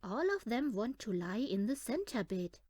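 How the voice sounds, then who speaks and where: Female children's audiobook reader, lively swooping pitch, unhurried delivery, close dry sound. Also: no echo. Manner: animated, deliberate storytelling for young children. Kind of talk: expressive read-aloud of a children's picture book.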